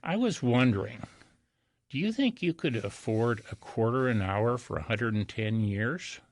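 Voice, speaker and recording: A man's voice: mid-range voice, lively intonation, a little slow. An older man speaking as an interview guest, telling anecdotes in a folksy, self-deprecating way. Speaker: interview guest, real estate broker.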